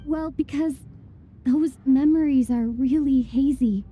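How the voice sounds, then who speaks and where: Game lead: young female traveler, gentle and reflective, high yet very mellow. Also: measured pace. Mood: pensive, hesitant. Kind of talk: animated video game voice acting.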